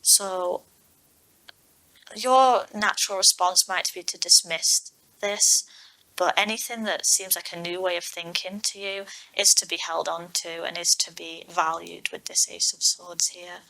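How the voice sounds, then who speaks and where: A woman's voice: lowish voice, steady tone, unhurried, sharp tone, poor audio. A woman who speaks as an online tarot reader, talking through cards unscripted in a reflective, explanatory manner. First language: English. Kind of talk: unscripted tarot card reading to viewers.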